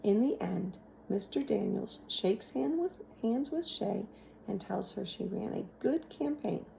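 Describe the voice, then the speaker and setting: Woman teacher-type narrator for a children's novel, mellow and slow, faint noise behind. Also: medium pitch, lively intonation, vocal fry, rough recording. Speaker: teacher reading aloud.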